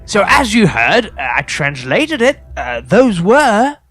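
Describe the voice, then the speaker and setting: A man voicing a polite, forgetful correspondent from the future, halting and apologetic as he rambles through an improvised comedy interview. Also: sits high, very animated, over music, full character voice. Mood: polite, rambling. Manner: hesitant, apologetic, halting with repeated words.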